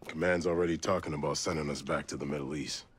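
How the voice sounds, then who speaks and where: A weary soldier in a video game. His extremely deep voice grumbles along at a quick clip, over clearly audible background noise. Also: steady tone. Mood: grumbling. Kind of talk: video game cutscene acting.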